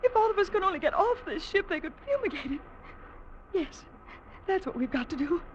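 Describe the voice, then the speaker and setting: An actress playing an indignant, high-strung ship passenger in old-time radio style, fussy and agitated. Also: female voice, very animated, fairly quick, muffled recording. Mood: frightened, desperate. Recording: faintly noisy vintage radio recording.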